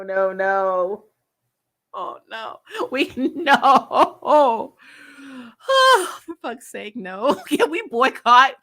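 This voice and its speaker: A woman's voice: fairly high pitch, bright, forceful tone. A woman hosting a casual, opinionated live review, talking in a blunt, exasperated, sweary way and laughing as she rants. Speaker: host of a TV review show.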